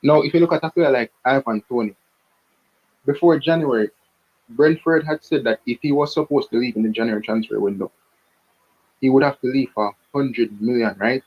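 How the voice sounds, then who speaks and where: A man calling in to a sports show, explaining his football opinions deliberately and often checking he is followed. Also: medium pitch. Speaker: caller giving football opinions. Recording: dull lo-fi call audio.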